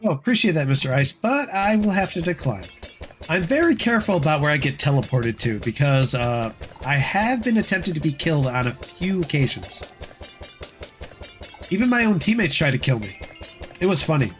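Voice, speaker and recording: A man chatting casually on a gameplay livestream, rambling through game steps, his voice dry and hoarse as if he is losing it. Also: a little high, big pitch swings, moderate pace, faint music behind. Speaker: game streamer.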